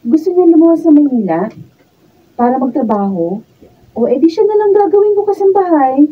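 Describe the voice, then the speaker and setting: A woman playing a vain, breezy young working woman in a Filipino radio drama, with a husky voice and a Filipino accent. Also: sits high, animated, a little fast. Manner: breezy, colloquial. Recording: dull, distant room pickup.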